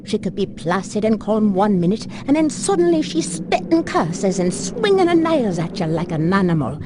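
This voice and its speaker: A woman's voice: medium pitch, big pitch swings, moderate pace, noisy background, lightly acted. An actress playing a motherly, gossipy older servant woman, speaking wistfully in old-fashioned phrases in a game cutscene. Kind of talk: dramatic game cutscene acting.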